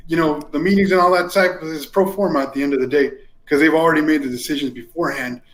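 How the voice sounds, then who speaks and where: A man's voice: fairly high pitch, steady tone, fast talker, a bit bright. A man in his forties from California, a native Spanish speaker, chatting informally and telling stories as an interview guest. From East San Jose, California, United States. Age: 40s. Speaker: interview guest, community politics commentator. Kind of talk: casual personal storytelling. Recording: roomy, echoing sound.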